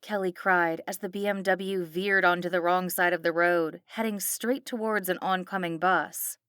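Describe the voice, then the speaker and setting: Bright-voiced woman narrating horror fiction. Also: fairly low pitch, fairly even intonation, moderate pace, clear, resonant tone, no room sound, clean studio sound. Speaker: audiobook narrator.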